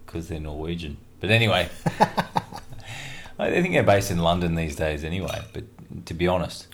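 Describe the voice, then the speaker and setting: A man's voice: deep voice, lively intonation, moderate pace, a little hiss or hum. A man hosting a radio show, chatting and joking casually between tracks with lots of fillers. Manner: chatty, jokey and rambling, with many fillers.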